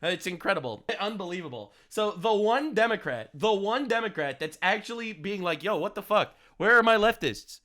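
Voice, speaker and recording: A man hosting his own commentary channel, talking in a loud, mocking rant full of crude jokes. His voice sits high and sounds bright and edgy. He keeps the flow rapid and combative. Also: expressive. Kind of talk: ranting political reaction commentary.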